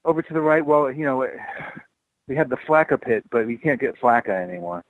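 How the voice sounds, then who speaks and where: A man's voice: mid-range voice, animated, brisk, no echo, narrow, tinny audio. A man who is a guest musician on a casual interview show, talking in a laid-back, joking way with many hesitations and fillers.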